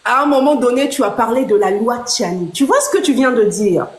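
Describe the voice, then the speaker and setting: A woman's voice: fairly high pitch, lively intonation, fairly quick. A woman hosting a live debate, firmly cutting in and explaining her point step by step. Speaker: live show host. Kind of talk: moderating a heated debate. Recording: roomy echo.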